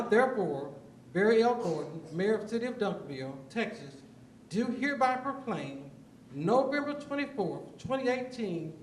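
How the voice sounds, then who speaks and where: A man with a notably high voice reads a proclamation in a formal, measured way. He is picked up from a distance in a roomy council chamber with a faint hiss underneath. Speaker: mayor. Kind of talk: reading a proclamation aloud. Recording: echoey chamber, mic from far off.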